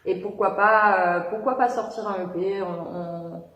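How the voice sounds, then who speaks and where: A woman's voice: medium pitch, fairly even intonation, measured pace, a bit bright. A young woman singer answering interview questions in a casual, hesitant way, with many fillers and restarts. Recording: echoey room.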